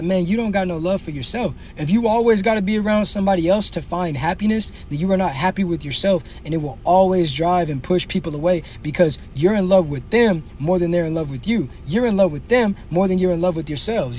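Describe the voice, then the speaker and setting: A man's voice: on the high side, darkish tone, a little hiss or hum. A young man hosting a comedic livestream, trash-talking commenters in brash, slangy bursts. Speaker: livestream host.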